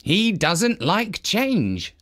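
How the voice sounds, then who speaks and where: Man voicing a high-voiced, fretful head steward. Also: huge pitch swings, very slow, a bit bright, heavily acted. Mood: resigned. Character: anxious, deferential male head steward of toy factory. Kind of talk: children's storybook character voice.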